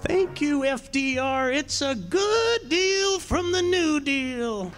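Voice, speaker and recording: Actor putting on a folksy old timer, high voice, real slow, old radio ad style. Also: lively intonation, a bit bright, faint music behind, exaggerated voice. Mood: pleased, folksy. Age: older. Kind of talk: old-time radio ad acting.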